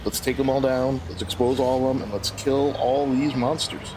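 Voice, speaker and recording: A man's voice: medium pitch, flat delivery, normal speed, background noise. A man chatting casually as a player on a podcast. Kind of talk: casual chat.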